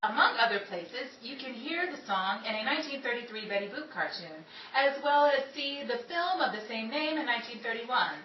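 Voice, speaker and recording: A woman's voice: fairly high pitch, lively intonation, brisk, hardly any pauses, lo-fi sound, lightly acted. A woman playing a chatty, polished 1930s radio broadcaster with a theatrical, presentational delivery. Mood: showy, poised. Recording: echoey room, distant mic, noisy background.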